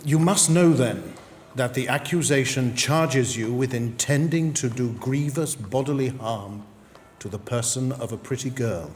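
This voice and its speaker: Actor as formal magistrate in a reverberant hall. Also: male voice, mid-range voice, darkish tone, natural voice. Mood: solemn, stern. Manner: formal, measured, official. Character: formal, courteous blind English magistrate pronouncing judgement. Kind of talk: period drama dialogue. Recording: long hall reverb, background noise.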